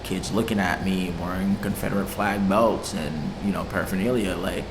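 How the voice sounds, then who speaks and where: A man's voice: low-pitched, fairly even intonation, moderate pace. A young man who grew up in Haiti, talking casually in an interview with loose slang, swearing, frequent fillers and easy laughter. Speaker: interviewed music producer. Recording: echoey room with long reverb and clear background noise.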